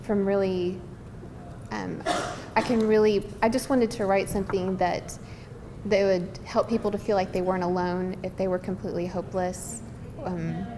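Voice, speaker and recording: A woman screenwriter answering questions casually, earnest and hesitant as she searches for words. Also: fairly low pitch. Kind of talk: casual, unscripted Q&A talk. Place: live event hall. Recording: reverberant hall with background noise.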